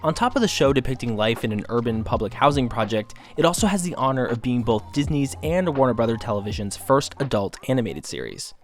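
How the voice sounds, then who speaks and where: A man reading scripted documentary narration in a measured, formal, informative manner. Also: mid-range voice, very animated. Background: faint music.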